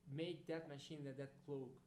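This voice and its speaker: A man's voice: a little high, level tone, normal speed, a little mellow. A male graduate giving a recorded congratulatory message, earnest and searching for words. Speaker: graduate sending a video message. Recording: echoey recording with faint hum, played in a hall.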